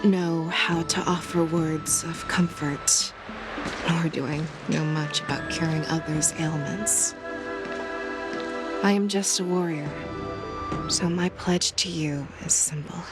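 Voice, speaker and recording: A woman's voice: low-pitched, fairly even intonation, slow, music in the background. A woman playing a steadfast, protective warrior general in a game, solemn and resolute in manner. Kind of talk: dramatic game voice acting.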